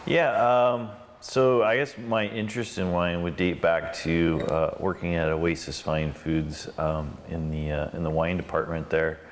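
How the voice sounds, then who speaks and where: Very deep male interviewee, halting and casual, faint noise with long reverb. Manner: relaxed, hesitant, many fillers. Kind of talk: oral history interview.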